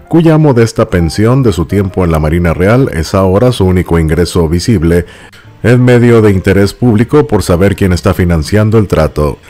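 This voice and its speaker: A man narrating tabloid news, with playful asides and sudden jumps up in pitch. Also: warm tone. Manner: jumps up in pitch on aside phrases, uses cute diminutives.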